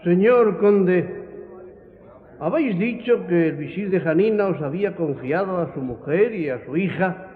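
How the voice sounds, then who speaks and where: Chamber president, tinny and reverberant. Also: male voice, high voice, animated. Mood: solemn. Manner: formal, measured, official. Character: formal, authoritative president of a parliamentary chamber. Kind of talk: radio drama acting. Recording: reverberant hall, tinny narrow-band sound.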